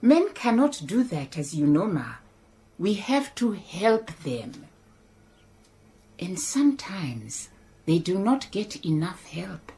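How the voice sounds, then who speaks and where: A woman voicing a calm, shrewd middle-aged woman, measured and dryly pointed. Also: lowish voice, exaggerated voice. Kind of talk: radio drama acting.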